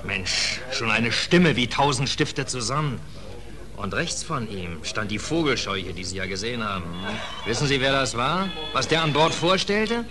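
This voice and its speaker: A man's voice: mid-range voice, expressive, moderate pace, music in the background, natural voice. An actor playing a ragged, bitter male sailor in a 1940s German radio play. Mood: bitter, recounting. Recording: noisy vintage 1940s radio recording.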